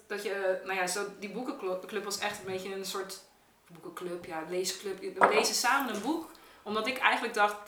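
Woman, bright forceful voice, reflective casual talk, slightly off-mic with room echo. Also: mid-range voice. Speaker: theatre maker in a podcast discussion. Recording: echoey room, distant from the mic.

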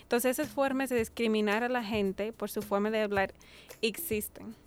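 A woman on a panel giving a measured, explanatory answer. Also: a little high, steady tone. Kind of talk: reflective panel discussion.

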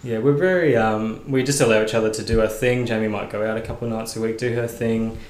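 A man's voice: lowish voice, fairly even intonation, fast talker, a little mellow. A man with an Australian accent, a podcast guest chatting casually, friendly and hesitant with many ums. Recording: roomy echo with faint noise.

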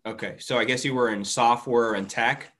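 A man's voice: medium pitch, fairly even intonation, moderate pace, fairly bright tone. A man working as a podcast host, speaking in a conversational interview-show style.

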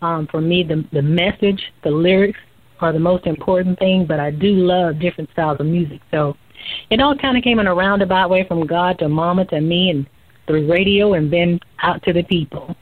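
A woman's voice: lowish voice, moderate pace, no echo. A young woman from Central Florida with a light Southern twang, chatting easygoing as a radio show guest. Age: young adult. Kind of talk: casual interview chat. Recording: thin phone line, dry.

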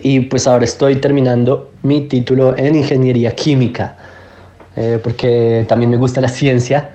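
A man's voice: medium pitch, little pitch movement, moderate pace, warm tone. A man, giving a matter-of-fact spoken self-introduction as a podcast guest. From Colombia, Bogotá. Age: 20s. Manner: matter-of-fact, listing his life in order.

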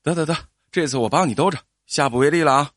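A man playing a stern village insurance adjuster, brisk, officious and quick to scold, in an audio story. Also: a little high, a bit bright, dry recording, studio quality. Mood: reluctant.

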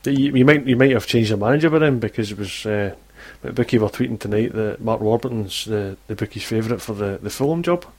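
A Scottish man hosting a football podcast, chatting in a relaxed way and asking his guests questions. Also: lowish voice, quick pace, few pauses. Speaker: podcast host. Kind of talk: casual chat.

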